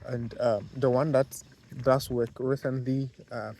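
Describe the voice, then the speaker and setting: A man with a Ghanaian accent talks casually to camera in a vlog, his voice sitting at a middle pitch. He speaks haltingly, filling gaps with fillers and restarting his sentences as he goes. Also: little pitch movement, creaky, darkish tone. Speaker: vlogger, car detailer.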